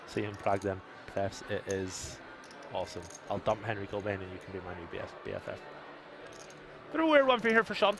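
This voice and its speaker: A man's voice: lowish voice, big pitch swings, a crowd in the background. A man with a soothing radio voice calls a live poker stream from a casino booth. He reads out the chat and keeps the one-liners coming between hands. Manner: jokey, quick one-liners.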